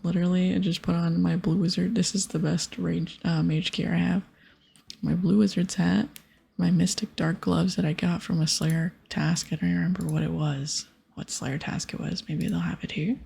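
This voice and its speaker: A woman giving casual, gentle ASMR commentary on a video game in a soft, breathy, often whispered voice. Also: fairly low pitch, level tone, normal speed. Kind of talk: ASMR game commentary, reading dialogue aloud. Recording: soft music behind. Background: music, keyboard clicks.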